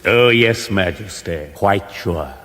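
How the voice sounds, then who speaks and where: An actor playing a sinister, obsequious court scribe with a formal, deadpan manner in a radio fantasy comedy. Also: male voice, low voice. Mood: sinister assurance. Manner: formal, measured, deadpan recital. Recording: echoey reverberant hall.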